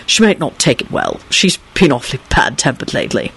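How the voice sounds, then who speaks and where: A scheming, hot-tempered male wizard, acted by a woman reader, intense and very animated in pitch. He is a storybook plotter who pleads and threatens by turns. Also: fairly quick, vocal fry, breathy edge, heavily acted, rough recording. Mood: falsely concerned.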